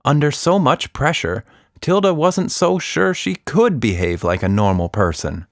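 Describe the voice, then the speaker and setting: A man's voice: a little high, lively intonation, measured pace, no room sound, clean studio sound. A man hosting a podcast for children, chatting casually and narrating a story.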